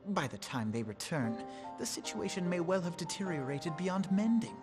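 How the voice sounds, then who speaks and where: A man voicing an earnest, troubled counsellor in a fantasy video game, speaking formally in measured, archaic phrasing. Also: high-pitched, very animated, over music. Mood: grave, troubled. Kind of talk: scripted video game cutscene acting.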